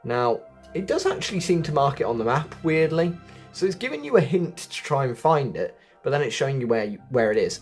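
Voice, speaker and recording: A man's voice: medium pitch, a little mellow. A man giving a casual, chatty walkthrough of a video game, often thinking aloud as he guides viewers. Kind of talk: casual gameplay walkthrough commentary.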